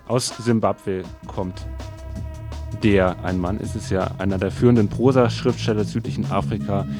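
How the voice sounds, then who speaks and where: A man presenting local radio, announcing events and music in a casual, hesitant, improvised way. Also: fairly low pitch. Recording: music playing under the voice.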